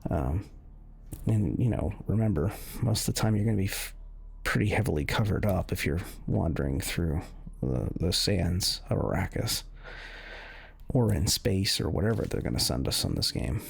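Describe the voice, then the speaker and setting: A man with a very low voice full of gravel and fry talks casually through a video game. He rambles and feels his way through sentences as he explains things, with a husky edge to the sound. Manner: halting, rambling, hedging delivery. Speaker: gaming video host. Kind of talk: casual game walkthrough commentary.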